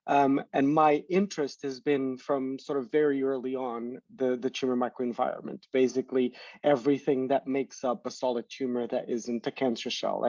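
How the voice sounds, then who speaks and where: A man's voice: mid-range voice, darkish tone, dry recording. A man in his thirties from Portugal, a startup founder explaining his research as a guest, with many restarts and repeated words. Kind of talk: interview answers. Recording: dull distant call audio.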